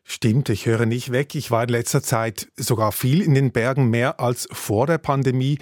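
A man's voice: medium pitch, fairly even intonation, moderate pace, darkish tone, no echo. Dry-voiced man reporting. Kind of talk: radio business feature reporting.

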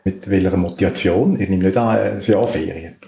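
A man's voice: low voice, normal speed, gravelly, mellow. A man with a Swiss German accent hosting a podcast, asking questions in casual conversation. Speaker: podcast host. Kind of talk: casual interview chat. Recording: roomy echo with audible background noise.

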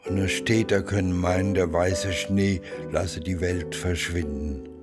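An actor playing a stubborn, grieving old mountain man, gruff and proud, in a radio drama. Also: steady tone, loud music behind the voice. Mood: gruff, defiant. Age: older. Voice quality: deep, slow voice.

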